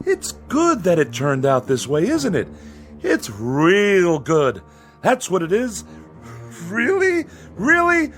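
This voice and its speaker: A man's voice: high-pitched, heavily acted. Anxious father, dramatically swinging voice. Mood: strained cheer. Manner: anxious, halting, repeats himself. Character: fearful small-town father forcing cheerfulness under dread. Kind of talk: radio drama acting.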